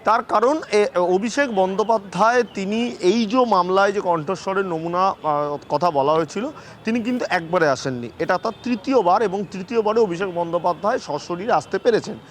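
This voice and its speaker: A man with a West Bengal Bengali accent giving live news analysis as a correspondent, halting and repeating himself for emphasis. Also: high voice. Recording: clear background noise and ambience.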